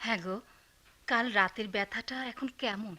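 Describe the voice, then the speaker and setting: An actress playing a gentle, worried, dutiful wife in a radio drama, speaking in a hesitant, solicitous way. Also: female voice, medium pitch, normal speed, faint music behind, close to an everyday voice.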